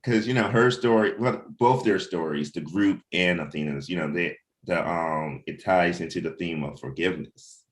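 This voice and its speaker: A man's voice: low voice, normal speed, hardly any pauses. A man in a casual creative discussion as a writer and host, rambling and often saying "you know". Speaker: comic book writer hosting a table read discussion.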